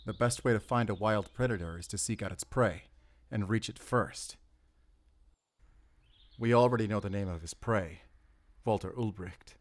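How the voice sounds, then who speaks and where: A man playing a weary, determined werewolf hunter in a cinematic audio roleplay, speaking pensively in short, halting phrases. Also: lowish voice, natural voice. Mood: grim, thoughtful. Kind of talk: cinematic audio roleplay acting, addressing a silent companion. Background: cinematic ambient sound effects.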